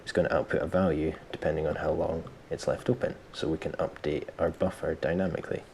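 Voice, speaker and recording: Very deep-voiced man giving a tutorial. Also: level tone, fast, darkish tone, slight background noise. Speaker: tutorial host. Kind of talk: software tutorial, explaining step by step.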